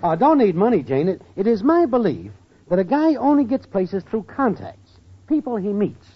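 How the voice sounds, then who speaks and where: An American actor playing a broke, scheming boyfriend in old-time radio comedy, slangy and wheedling. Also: male voice, sits high, very animated, moderate pace, a crowd in the background. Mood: breezy, ingratiating. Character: scheming, broke, fast-talking male sitcom boyfriend. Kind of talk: 1940s-50s radio comedy acting. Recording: dull, noisy old radio recording.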